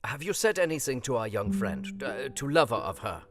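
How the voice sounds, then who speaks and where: An actor playing a wise older professor in an audio drama, kindly and grave, with emphatic, halting counsel in foreign phrasing. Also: male voice, mid-range voice, sing-song. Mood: cautioning, grave. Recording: long reverb, music behind. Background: guitar music.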